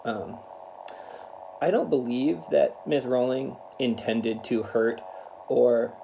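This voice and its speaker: A man's voice: medium pitch, takes it slowly, creaky, mellow. Earnest man reading aloud, noisy recording. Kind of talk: read-aloud of a children's picture book with spoken introduction.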